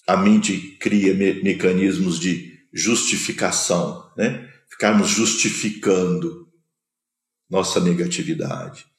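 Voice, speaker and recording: A man from Minas Gerais, Brazil, with a Minas Gerais accent, gives an unhurried spiritual lesson in a rather low voice. He talks slowly and pauses between short phrases. The sound carries a noticeable room echo. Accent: Brazilian Portuguese, Minas Gerais. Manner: slow, measured, pausing between phrases. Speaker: spiritual teacher. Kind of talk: spoken lecture on scripture.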